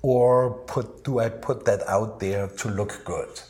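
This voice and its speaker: Male designer interviewee, Austrian accent, native German speaker. Slightly low pitch, reflective and halting, given to anecdote. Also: steady tone, darkish tone.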